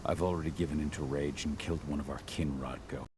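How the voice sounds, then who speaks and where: Very deep-voiced brooding warrior. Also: male voice, fairly even intonation, fast talker. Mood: earnest, brooding. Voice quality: deep, gruff. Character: brooding, guilt-ridden male werewolf warrior. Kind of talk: video game cutscene acting. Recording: clear background ambience noise.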